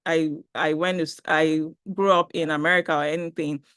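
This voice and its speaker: A woman's voice: low voice, level tone, a little fast, a bit bright, smooth, no room sound, clean studio sound. A Nigerian woman presenting an online talk on a video call, chatty and upbeat, reading the chat as she goes. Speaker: webinar presenter.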